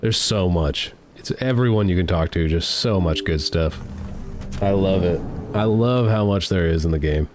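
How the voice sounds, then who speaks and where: A man's voice: low-pitched, normal speed, noisy background. A man in his thirties with a stuffy nose from a cold, chatting enthusiastically while playing a game at home on a headset. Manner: enthusiastic, chatty, reads choices aloud. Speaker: let's play streamer. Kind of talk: casual gameplay commentary. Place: home room.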